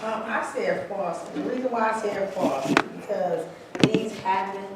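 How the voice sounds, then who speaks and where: A woman speaks hesitantly in a group discussion, full of likes and restarts. She sits far from the mic in a highly reverberant room. Clear background noise is also audible. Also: a little slow. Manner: hesitant, many fillers. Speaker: workshop participant. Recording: echoey room, from far off, noisy.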